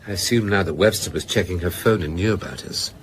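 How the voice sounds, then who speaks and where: An actor in a radio drama playing a rueful, sardonic novelist who confesses in a measured, hesitant way. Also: male voice, low-pitched. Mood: grave, confessing.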